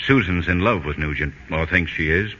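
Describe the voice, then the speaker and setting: An actor playing a scheming, reassuring older uncle, a formal doctor, in a 1940s radio comedy. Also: male voice, low-pitched, bright, forceful tone. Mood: concerned. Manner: avuncular, formal, deliberate phrasing. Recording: dull, hissy old radio recording.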